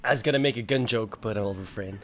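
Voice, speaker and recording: A man's voice: lowish voice, quick pace, over music. Joking man from Belgium in game chat. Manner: joking, self-mocking. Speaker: gamer in voice chat. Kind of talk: casual gaming chat.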